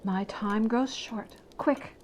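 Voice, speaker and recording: Woman voicing a slow, solemn ghostly spirit. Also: mid-range voice, slight background noise, natural voice. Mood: urgent.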